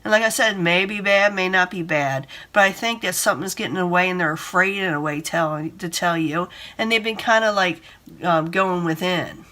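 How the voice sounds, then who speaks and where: A woman reading tarot cards in a casual, rambling way, hoarse from a cold and breathing hard. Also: lowish voice, moderate pace, bright, forceful tone. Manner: rambling, repeats herself, gives advice. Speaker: tarot reader.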